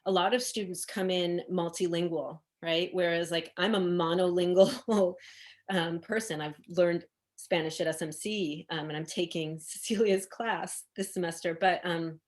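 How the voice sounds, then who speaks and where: A woman's voice: lowish voice, steady tone, measured pace. A woman running a workshop discussion over a video call, talking casually with a lot of hesitation and restarts. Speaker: workshop facilitator.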